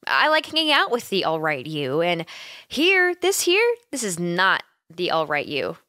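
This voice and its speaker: A woman's voice: fairly low pitch, huge pitch swings, unhurried, dry recording, full character voice. A caring young woman roommate, acted by a woman in an ASMR roleplay, recorded close and dry. Her intonation swings freely as she coaxes and teases her friend, hesitant at times and playful. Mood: concerned, gently teasing. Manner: playful, gently coaxing, giggly, hesitant. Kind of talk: ASMR roleplay, intimate one-sided conversation.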